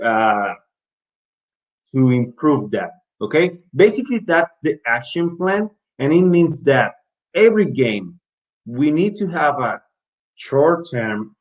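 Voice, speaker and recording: Guy with a latin american spanish accent talking shop on a muffled call, average pitch, keeps restarting. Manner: repetitive restarts, asks and answers his own questions. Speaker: basketball referee speaker in an online session. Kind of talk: informal presentation to referees. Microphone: video call.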